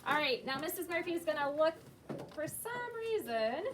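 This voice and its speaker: A woman with a high voice leads an elementary classroom lesson, asking questions and echoing pupils' answers with approval. She is picked up from a distance in a roomy space, with clear noise behind her. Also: big pitch swings, normal speed. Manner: affirming, repeats pupils' answers back. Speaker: elementary school teacher. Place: school classroom.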